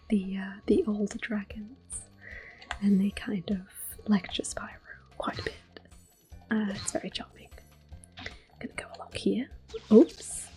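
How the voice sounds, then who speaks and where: Woman whispering soft gameplay commentary. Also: medium pitch. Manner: soft-spoken, gentle, with quiet laughs. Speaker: ASMR gameplay host.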